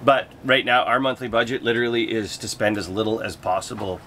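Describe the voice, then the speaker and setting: A man's voice: fairly low pitch, a little fast, bright, forceful tone, a little hiss or hum. A man from Canada with a Canadian accent, co-hosting a vlog in casual chat. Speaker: co-host of a sailing vlog. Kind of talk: casual chat, answering viewer questions.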